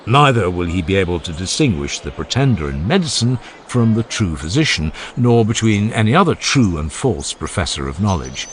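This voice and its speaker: A man narrating an audiobook of a classical philosophical dialogue in a measured, formal and emphatic way. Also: low voice, big pitch swings, a little hiss or hum. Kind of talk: dramatized scripted reading of a classical philosophical dialogue.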